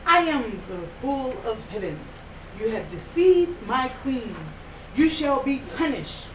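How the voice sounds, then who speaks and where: A man's voice: very high voice, very animated, slow pace, a little hiss or hum, voice pushed up, full character voice. A boy doing the stern Bull of Heaven. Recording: echoey room from far off.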